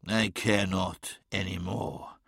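A man's voice: medium pitch, moderate pace, vocal fry, bright, forceful tone, dry recording, clean studio sound. A man playing a proud, defiant warrior lord in an audiobook, imperious and grand in his delivery. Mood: bitter. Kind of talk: dramatic audiobook character acting.

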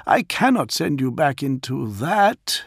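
A scholarly male engineer speaks formally, respectful and careful, his voice lifted well above the teller's. A man acts him out in a dry, close reading with a gravelly edge and a slow pace. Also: mid-range voice, sing-song, no echo, pitched above the performer's own voice, heavily acted. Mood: earnest.

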